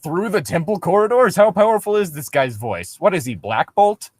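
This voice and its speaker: A man's voice: high-pitched, lively intonation, moderate pace, dry recording. A man riffing casually as a comic co-host, sarcastic and mock-exasperated. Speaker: co-host commenting on a reading.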